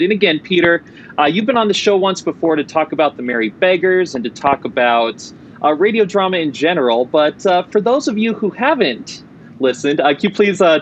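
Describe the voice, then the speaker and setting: A man's voice: on the high side, muffled recording, a little hiss or hum. A man hosting a podcast interview, chatty and joking, often correcting himself as he goes. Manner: joking, rambling with self-corrections. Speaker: podcast host. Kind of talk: casual interview chat.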